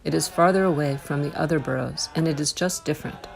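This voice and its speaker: A documentary narrator reading a measured, informative script. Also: mid-range voice, creaky, faint music behind, rough recording.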